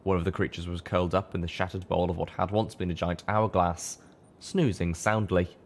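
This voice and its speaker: A man's voice: low voice, flat delivery, brisk. A man reading a scripted first-person fantasy story aloud as narrator. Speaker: audiobook reader.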